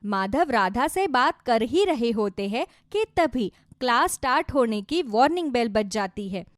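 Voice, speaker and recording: A woman's voice: on the high side, animated, measured pace, no echo, studio quality. A woman narrating a scripted moral story for children.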